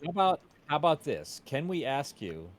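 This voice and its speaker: A man's voice: fairly high pitch, sing-song, normal speed. A man chatting casually as co-host of a group stream. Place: online stream call.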